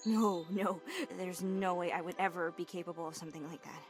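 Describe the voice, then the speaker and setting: An actress playing a timid, modest young maid in a video game, hesitant and polite. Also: female voice, on the low side, fairly even intonation, a little fast, faint music behind, lightly acted. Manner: hesitant, self-doubting, polite. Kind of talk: naturalistic video game acting.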